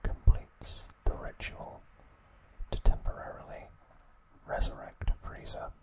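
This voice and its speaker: A man narrating trivia as an ASMR host in a breathy, unvoiced whisper, soft and deliberate. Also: low-quality recording.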